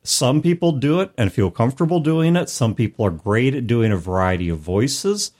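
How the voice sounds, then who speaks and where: A man's voice: medium pitch, animated, normal speed, darkish tone. A man co-hosting a casual discussion, explaining things through everyday analogies. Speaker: podcast co-host. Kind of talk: casual discussion of tabletop role-playing.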